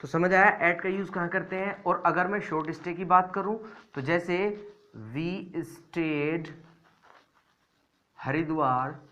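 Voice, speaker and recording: A male teacher giving a grammar lesson to learners. He talks slowly and deliberately, repeating each example sentence word by word. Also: high voice, sharp tone, muffled recording. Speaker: English teacher.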